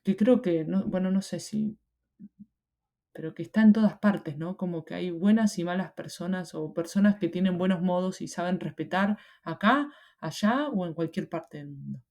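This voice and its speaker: A young woman from Argentina with an Argentine Spanish accent talks through her thoughts in a relaxed interview. Her voice is mellow and dark. She hesitates a lot and restarts her phrases. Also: lowish voice, moderate pace. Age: young adult. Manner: reflective, many hesitations and restarts. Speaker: interview guest. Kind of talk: casual interview conversation.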